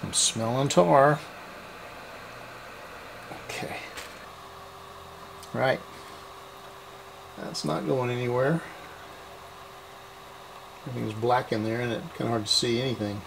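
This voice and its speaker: Man, gravelly fry, mid-pitched, informal step-by-step repair walkthrough, faint background noise. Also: fairly even intonation, takes it slowly, pauses often. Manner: informal, methodical step-by-step explaining. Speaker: host of a radio restoration series. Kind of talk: hands-on repair demonstration.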